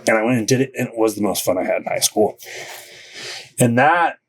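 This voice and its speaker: A man's voice: lowish voice, animated, a little fast, husky. Rambling man telling stories. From Southern California. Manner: rambling, lots of filler likes. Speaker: podcast guest. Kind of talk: casual storytelling.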